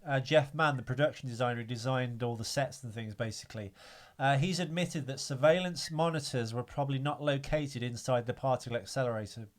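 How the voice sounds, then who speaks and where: A man's voice: medium pitch, fairly quick. Young Birmingham man, dry teasing podcast co-host reading trivia. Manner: dry teasing banter, reads trivia aloud. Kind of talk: casual chat.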